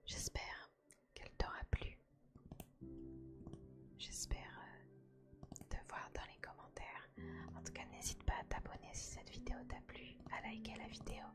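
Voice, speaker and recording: A woman hosts an ASMR chat entirely in a soft whisper. She takes her time, leaving her phrases slow and hushed. Her manner is gentle and reassuring, as if encouraging one listener. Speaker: ASMR video host.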